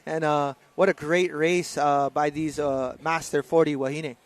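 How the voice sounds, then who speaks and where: Easygoing male race commentator, fairly high voice. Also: measured pace. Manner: relaxed, loose delivery with many fillers and self-corrections. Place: outdoor race venue broadcast.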